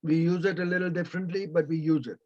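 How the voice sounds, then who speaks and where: A man whose first language is Persian answers audience questions on a video call, slowly and with many pauses. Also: a little high, level tone, darkish tone. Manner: slow, halting, with many pauses. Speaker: invited speaker. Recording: dull lo-fi video call audio.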